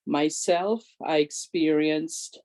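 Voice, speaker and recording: A woman's voice: sits low, fairly even intonation, slow, a little mellow. An older woman with a big, strong voice gives an earnest community awareness talk and makes repeated, pleading requests to her listeners. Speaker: presenter and advocate.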